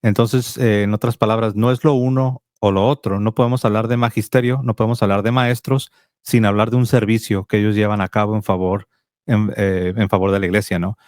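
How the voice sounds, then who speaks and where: Low-voiced Mexican man explaining. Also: fairly quick, no room sound, clean studio sound. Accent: Mexican Spanish. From Tijuana, Mexico. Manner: explanatory, steady teaching tone. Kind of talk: apologetics call-in talk.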